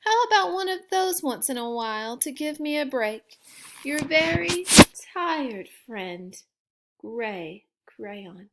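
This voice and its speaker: A woman voicing a weary, exasperated crayon who complains with drawn-out emphasis in a children's storybook. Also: high-pitched, sing-song, very noisy, exaggerated voice. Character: whiny, overworked gray crayon, weary and exasperated. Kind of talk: children's storybook read-aloud.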